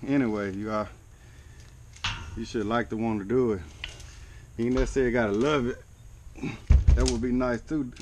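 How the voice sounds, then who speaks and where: A man's voice: lowish voice, a little mellow, a little hiss or hum. Laid-back man talking while working. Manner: laid-back, chatty, encouraging. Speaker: HVAC technician. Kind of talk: casual work vlog talk. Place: walk-in cooler, indoors.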